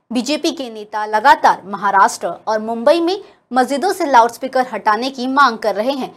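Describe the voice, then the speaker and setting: A woman working as a news anchor, reading scripted news narration. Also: a little high.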